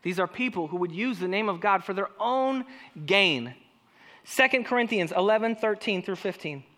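Male pastor, naturally high voice, ringing tone, lively sermon delivery that keeps asking the room questions. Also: normal speed. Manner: animated preaching with rhetorical questions to the congregation.

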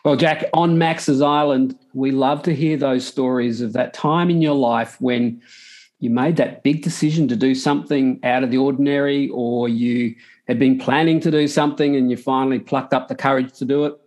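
A man hosting a podcast, asking his guest questions in a friendly, measured way. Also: mid-range voice, fairly even intonation, a little mellow. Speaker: podcast host. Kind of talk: interview hosting.

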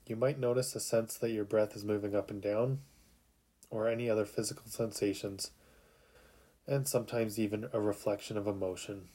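A man narrating a guided sleep meditation in a calm, soothing, unhurried way with long pauses. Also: fairly low pitch.

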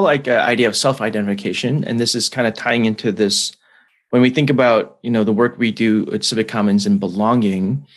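Guy hosting a podcast, chatty and animated, lots of restarts and ums. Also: mid-range voice. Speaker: podcast host. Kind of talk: casual conversation.

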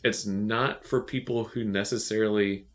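A man with a fairly low voice, talking in a relaxed, meandering way on a podcast. He drifts between thoughts with plenty of ums and restarts and keeps it casual. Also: normal speed. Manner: relaxed and rambling, with many fillers and restarts. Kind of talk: casual chat.

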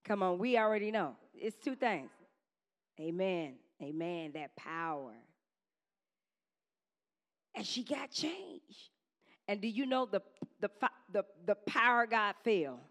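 A woman preaching a sermon to her congregation in a conversational style, with quick call-and-response asides to the church. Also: mid-range voice, animated, a bit bright. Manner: says she has a strong voice.

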